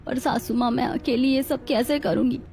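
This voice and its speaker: A woman's voice: high voice, steady tone, moderate pace, gravelly, darkish tone, faint music behind. A woman playing a meek, tormented young daughter-in-law, pleading and fearful, in a dramatized family story. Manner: pleading, submissive.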